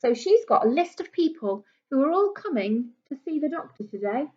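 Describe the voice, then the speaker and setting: High-voiced woman reading to young children. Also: big pitch swings, normal speed, darkish tone. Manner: explanatory, simple words, questions to children. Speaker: primary school teacher. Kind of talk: read-aloud storybook lesson for young children. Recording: dull lo-fi small camera recording.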